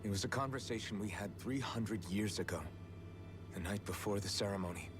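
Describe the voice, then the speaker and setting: A man's voice: fairly low pitch, a little fast, over music, lightly acted. A man playing a bitter, guarded young captive in a video game cutscene, terse and resentful. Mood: somber.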